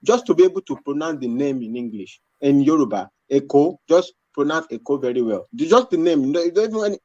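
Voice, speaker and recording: Mellow-voiced man, insistent. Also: fairly high pitch, lively intonation, fairly quick, no echo. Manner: insistent, repeats his points. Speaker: panelist. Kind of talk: live panel debate. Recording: dull lo-fi sound.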